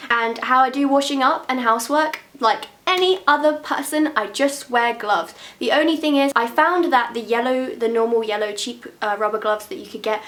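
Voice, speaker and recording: Young woman vlogging to camera, chatty and frank, slightly high voice, nasal from a cold. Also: fairly bright tone. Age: 20s. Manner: chatty, frank, laughs often. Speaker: beauty vlogger. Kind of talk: casual talk to camera.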